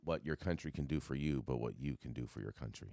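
A man hosting a casual talk show, playful and quick to laugh. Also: very deep voice, creaky, warm tone, dry recording, studio quality. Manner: laughs often, playful exclamations. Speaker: host.

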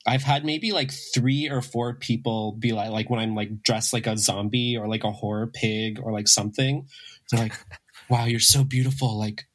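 A man with a raspy, slightly under-the-weather voice, telling stories casually as a guest, with lots of filler "like" and quoted dialogue. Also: fairly low pitch, moderate pace. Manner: animated storyteller with frequent "like" and quoting. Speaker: guest, drag performer. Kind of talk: casual conversational interview chat.

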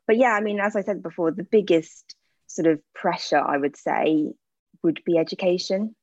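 Woman in her thirties, low voice, candid interview chat, dull lo-fi sound. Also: normal speed, a little mellow, dry recording. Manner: chatty, candid, with frequent fillers. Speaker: interview guest, aesthetic practitioner. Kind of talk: casual interview answers.

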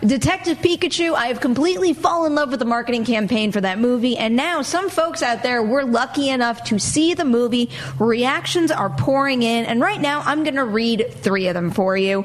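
A woman's voice: on the high side, expressive, brisk, few pauses. A woman hosting a movie news panel in a conversational, enthusiastic way. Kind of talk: casual panel discussion and news presenting.

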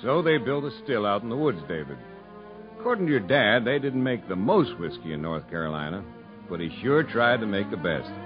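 Low-voiced, animated radio storyteller. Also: male voice, a little fast. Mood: reflective. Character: male storyteller recounting a family's past to a boy. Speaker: narrator. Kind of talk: 1950s radio drama narration. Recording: muffled 1950s radio broadcast with music.

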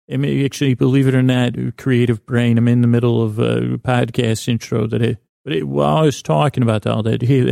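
A low-voiced man hosts a sleep podcast with a creaky, mellow delivery. He meanders through half-finished thoughts in a halting, self-deprecating way, often doubling back on himself. The sound is close and dry. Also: no echo. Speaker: podcast host. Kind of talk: rambling bedtime podcast intro monologue.

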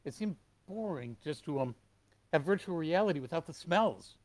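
A man from Detroit chatting casually as a guest, telling stories with animated put-on voices. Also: normal speed, no room sound. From Detroit, USA. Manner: animated storyteller, acts out customers in high voices. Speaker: guest, store owner. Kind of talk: casual chat.

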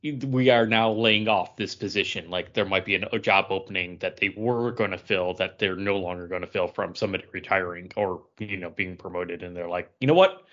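A man's voice: low voice, brisk, hardly any pauses, a bit bright, dull audio. A man co-hosting a podcast in a casual, conversational panel chat. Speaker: podcast co-host.

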